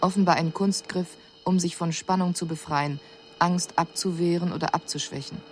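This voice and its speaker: Woman playing a low-voiced, detached alien envoy. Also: fairly even intonation, slight background noise, natural voice. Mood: clinical. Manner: measured, detached. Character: analytical alien envoy reporting on puzzling humans. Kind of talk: formal scripted report in radio drama.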